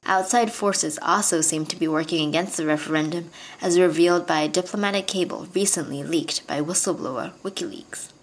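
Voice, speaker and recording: A woman reporter reading a scripted radio news report in a measured, formal way. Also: lowish voice, poor audio.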